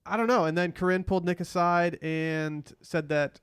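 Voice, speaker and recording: A man hosts a casual pop-culture chat. His voice sits a little higher than most men's, and the sound is very dry, with no room echo. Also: steady tone, moderate pace, a bit bright, clean tone. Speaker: podcast host. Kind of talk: casual chat about a reality TV show.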